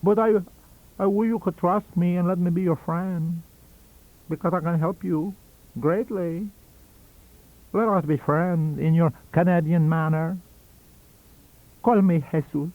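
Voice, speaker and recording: A man voicing a cunning, wheezy old foreign scientist-magician in an audiobook, with a theatrical, halting and emphatic delivery. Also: high-pitched, steady tone, voice pushed up. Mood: wheedling, boastful. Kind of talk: audiobook character reading. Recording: dull lo-fi audio cassette.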